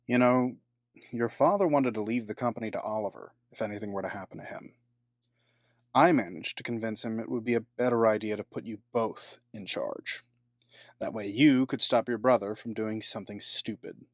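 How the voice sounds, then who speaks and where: An actor playing a cold, scheming middle-aged businessman villain in an audio drama, formal and measured with a sardonic edge. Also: lowish voice, no echo, clean studio sound.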